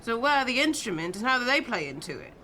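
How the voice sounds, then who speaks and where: A woman playing a sharp, skeptical investigator. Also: a little high, sing-song, slight background noise. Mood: insistent. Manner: insistent, quick reasoning.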